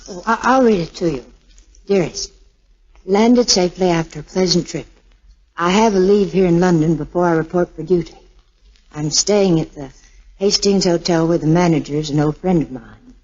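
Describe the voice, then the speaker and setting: A woman's voice: fairly low pitch, moderate pace, a little mellow, slightly rough. A woman playing a devoted, tender sweetheart bravely holding back sorrow, in 1940s radio drama style. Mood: guarded. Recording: muffled vintage 1940s radio recording.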